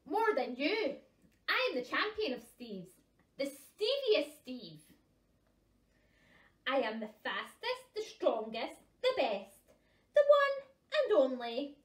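Woman voicing a loud, boastful high-pitched puffin. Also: wildly swooping pitch, slow pace, pauses often, heavily acted. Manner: loud, emphatic, taunting. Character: boastful, squabbling cartoon puffin, childishly competitive. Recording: roomy echo, distant microphone.

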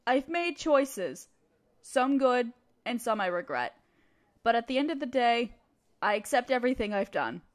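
A woman acting in an audio roleplay as a guarded, jealous, resigned barista who runs a cafe, speaking haltingly and defensively. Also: fairly high pitch. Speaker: amateur audio roleplay voice performer. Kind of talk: audio roleplay acting, one side of a dialogue.

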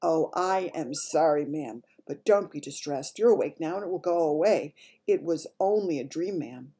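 A woman's voice: low-pitched, normal speed, mellow, husky. A woman voicing an anxious, deferential royal maid in a storybook, flustered and quivering. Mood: soothing.

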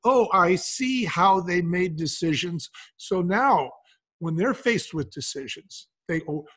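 Male business founder as podcast guest, husky, fairly high-pitched, explanatory and conversational. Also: lively intonation, slow, fairly bright tone. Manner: explanatory, acts out imagined quotes. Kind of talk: conversational interview talk.

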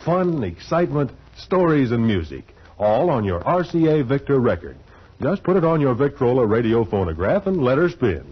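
A man's voice: medium pitch, sing-song, moderate pace, husky. A man announcing a 1940s radio show from a script, with a theatrical, promotional delivery. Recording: muffled 1940s radio with hiss.

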